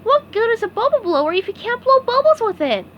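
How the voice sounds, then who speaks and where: A woman voicing an eager, excitable male sea sponge in a very high, squeaky voice as she reads a storybook. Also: a little fast, dull audio, slight background noise. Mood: cheerful. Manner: stammering and breathless.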